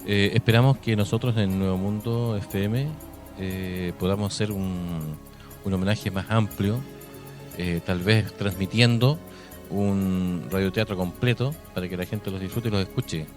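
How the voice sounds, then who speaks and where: Male radio co-host, Chilean accent, low pitch, agreeable, conversational, light music behind. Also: fairly even intonation, a little slow. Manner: agreeable, often affirming his partner. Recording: music bed under the voice. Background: background music.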